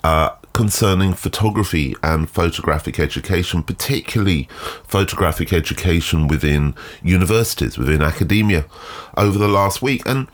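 A man hosting a solo photography podcast, sounding nasal and congested from a cold, speaking in a measured, thoughtful way with frequent ums. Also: low voice. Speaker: podcast host. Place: garden shed.

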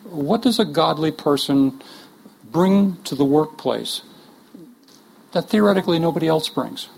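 Brief: male workshop leader, gravelly voice, conversational teaching, restarts often, faint background hiss. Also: a little high, animated, unhurried, a little mellow, low-quality recording. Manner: earnest, joking asides, frequent restarts.

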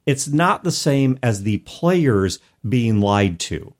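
Man explaining with lively, swooping intonation. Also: mid-range voice, slow pace. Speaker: podcast host. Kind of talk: conversational advice on tabletop game mastering.

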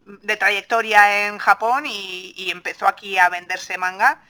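A woman's voice: medium pitch, little pitch movement, measured pace, bright, forceful tone. A woman with an accent from Spain, hosting a podcast in a casual, informal interview style. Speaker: podcast host.

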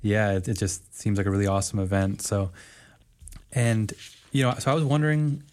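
A man hosts a community radio show from the studio. His voice sits a little low, and he asks questions in a measured way with some hesitation. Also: darkish tone. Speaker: radio host.

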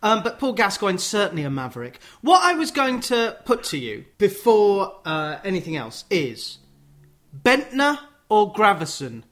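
A male radio show host interviews his guest with wry, playful remarks. His voice sits a little higher than usual. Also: wildly swooping pitch, unhurried, a bit bright. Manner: wry, jokey asides. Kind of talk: conversational interview.

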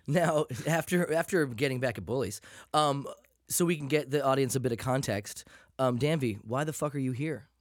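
A man hosting a casual chat, wry and irreverent, often chipping in with quick reactions. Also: medium pitch, no room sound, studio quality. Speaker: podcast host.

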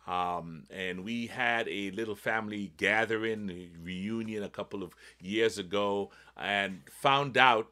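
A man's voice: fairly low pitch, measured pace, hardly any pauses, pushed and bright. A man from Trinidad on a church panel, rambling with many restarts. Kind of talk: panel discussion.

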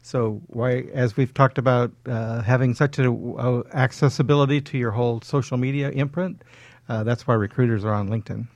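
A man of average pitch explains practical advice in a conversational way as an expert guest. The sound is very dry, with no room echo. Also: steady tone, normal speed, darkish tone. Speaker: talk show guest, networking expert.

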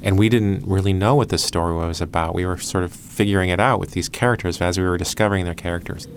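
Deep-voiced man in reflective interview. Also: fairly even intonation, fast, very noisy. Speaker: podcast creator and producer.

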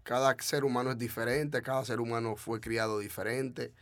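A man's voice: medium pitch, steady tone, normal speed, a bit bright, no room sound. A man from the Dominican Republic with a Dominican Spanish accent, a trap artist talking casually in an interview, often repeating himself and restarting.

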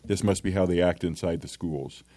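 Middle-aged cop guest, very low, dark dry voice, earnest, plainspoken anecdotes. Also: male voice, normal speed, no room sound. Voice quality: deep voice. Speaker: school resource officer. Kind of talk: casual podcast interview.

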